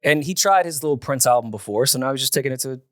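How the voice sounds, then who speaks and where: A man's voice: mid-range voice, fast talker, dry recording. A man in casual podcast banter, with a dry, wisecracking manner. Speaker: podcast host.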